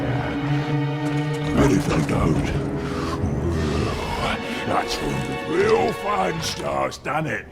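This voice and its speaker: A brutish orc villain for a game cinematic. The voice is a thick, guttural growl that drags slowly and swings in pitch with gloating taunts. Music sits clearly behind it. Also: male voice, exaggerated voice. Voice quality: gravelly, guttural. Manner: taunting, drawn-out menace. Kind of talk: video game cinematic acting.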